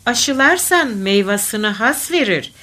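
Woman, poetry reader, very slow pace, animated swooping intonation, declamatory delivery, mid-pitched. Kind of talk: expressive recitation of folk poetry.

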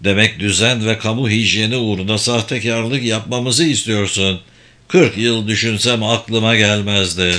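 Man as a low-voiced, sardonic young thief. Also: normal speed, pushed and bright. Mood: sardonic, sceptical. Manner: sceptical, cutting.

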